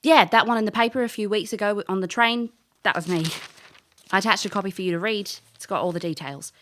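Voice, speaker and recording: A woman's voice: medium pitch, fast, fairly bright tone, a little hiss or hum, close to an everyday voice. A woman playing a brash, wry female adventurer in an audio drama, with a chatty, flippant manner. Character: brash, wry female adventurer turned detective, narrating her letter. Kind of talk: audio drama narration and dialogue.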